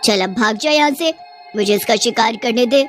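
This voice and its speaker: A woman's voice: fairly high pitch, fairly bright tone, faint music behind, lightly acted. A woman voicing a menacing, gloating witch who taunts her prey in a cartoon horror story. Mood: commanding. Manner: cackling, taunting.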